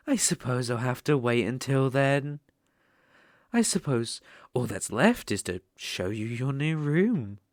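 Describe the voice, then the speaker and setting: Performer acting as a sadistic demon ruler, British accent, haughty and mocking, unhurried, wildly swinging intonation, ASMR roleplay. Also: medium pitch, darkish tone, dry recording, studio quality. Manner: slow, mocking, haughty, theatrical. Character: sadistic, possessive demon ruler toying with a human. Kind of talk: ASMR roleplay, scripted monologue.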